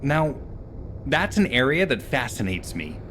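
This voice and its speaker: A man's voice: medium pitch, sing-song, unhurried, a bit bright, a little hiss or hum. A man playing a snide, moody scientist in a video game, sarcastic, dismissive and theatrical. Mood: smug, amused. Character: snide, moody, irritable male scientist who dropped out. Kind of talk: video game cutscene acting.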